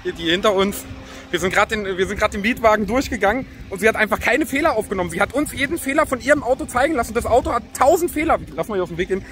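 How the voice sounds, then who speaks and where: A man, chatting casually and excitably in slang to a phone camera as a vlogger. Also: high-pitched, racing. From Berlin, Germany. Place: outdoors on holiday, by beach, pool and roads. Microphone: phone at arm's length. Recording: noisy outdoor phone recording.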